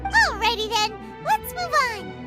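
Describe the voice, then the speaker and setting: A woman voicing a tiny, cheerful floating fairy companion in a video game, with a squeaky childlike voice and a perky way of talking. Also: sing-song, unhurried, loud music behind the voice, heavily acted. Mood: encouraging, musing. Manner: refers to self in third person, perky. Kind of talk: video game character acting.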